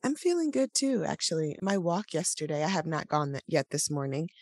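A woman, co-hosting a casual morning podcast chat in a relaxed, meandering way, her voice still sleepy. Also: low voice, a little fast, no room sound, studio quality. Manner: relaxed, laughs often, meandering. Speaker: podcast co-host.

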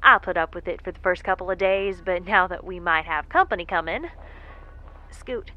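A woman's voice: on the low side, normal speed, muffled recording, lightly acted. An actress playing a quirky, folksy stranded woman space explorer who rambles in an easygoing, meandering way, in an audio drama monologue. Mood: chatty, self-narrating.

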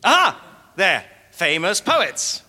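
A pompous failed writer, echoey hall. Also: male voice, sits high, wildly swooping pitch, very slow, sharp tone, full character voice. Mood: smug. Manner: pompous, stammering when flustered. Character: vain self-pitying failed writer from the past. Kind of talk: live audio comedy acting. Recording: echoey hall, live stage.

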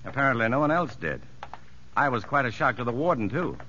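A man's voice: mid-range voice, sing-song, a little fast, pushed and bright, slight background noise. An American man playing an earnest, polite, inexperienced prison psychologist who also narrates, in 1950s radio drama style. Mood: mild, puzzled. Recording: muffled old radio broadcast.

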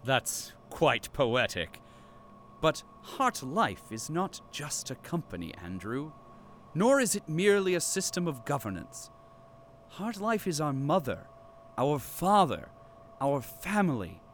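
A man's voice: a little high, sing-song, slow pace, fairly bright tone, slight background noise, exaggerated voice. A man playing a pompous captive archivist and company loyalist in an audio drama, with formal, grandiose diction. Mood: solemn, fervent.

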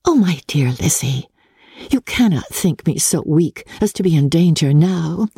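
A woman voicing a gentle, earnest and composed young gentlewoman in a dramatic audiobook reading. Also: deep voice, expressive, unhurried, breathy edge, no room sound, clean studio sound, voice pushed up, full character voice. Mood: gentle protest.

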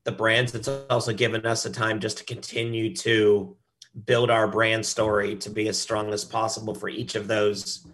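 A man's voice: on the low side, little pitch movement, moderate pace, fairly bright tone. A man speaking as a brand director and interview guest in a conversational business style, often repeating words as he explains.

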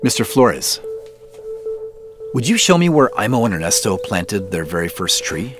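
A man's voice: on the low side, sing-song, moderate pace, music in the background. A man acting a polite, hesitant man seeking answers in an audio drama, correcting himself as he talks. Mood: hesitant, earnest. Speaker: audio drama lead actor. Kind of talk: naturalistic audio drama dialogue.

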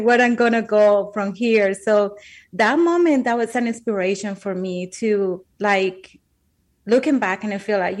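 A woman from Colombia with a Colombian Spanish accent, speaking English as a second language, earnestly recounting her life as an interview guest. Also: mid-range voice. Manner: earnest, emphatic, repeats words while thinking. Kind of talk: heartfelt personal storytelling.

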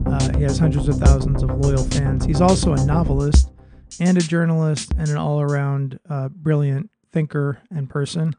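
A man in his thirties hosting an advice podcast, chatty and loose, with lots of fillers. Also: on the high side. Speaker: podcast host. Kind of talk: casual conversational interviewing.

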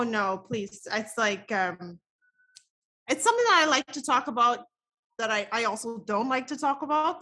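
Bright-voiced woman guest. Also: a little high. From the Bahamas. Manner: chatty, laughs often, lots of filler words. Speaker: guest artist. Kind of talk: casual podcast conversation.

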